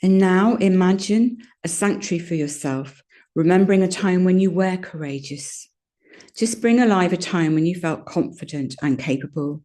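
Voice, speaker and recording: A woman leading a guided meditation in a soothing, gentle manner, giving unhurried instructions with pauses. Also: lowish voice. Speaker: yoga nidra meditation guide.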